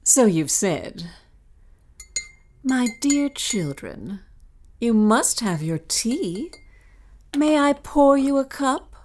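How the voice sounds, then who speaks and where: An actress playing a scheming governess in an audio melodrama, cooing and prim with a veneer of sweetness. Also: female voice, on the high side, sing-song, drawn-out words, long pauses, darkish tone, a little hiss or hum, full character voice. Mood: cloying. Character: scheming governess feigning sweetness toward her charges. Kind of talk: melodramatic audio drama acting.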